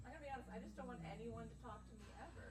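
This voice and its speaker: High-voiced woman, echoey under loud music. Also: rapid-fire. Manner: blunt, sarcastic. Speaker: podcast guest. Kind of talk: casual banter.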